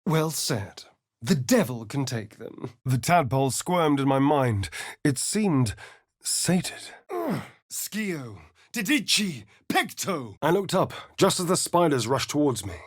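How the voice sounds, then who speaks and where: An actor playing a sardonic, vain vampire spawn rogue in a video game, with a theatrical, drawling British delivery. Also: male voice, on the high side, sing-song, takes it slowly, no room sound, clean studio sound, exaggerated voice. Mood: arch, amused, then intense incantation. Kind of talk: video game character voice lines.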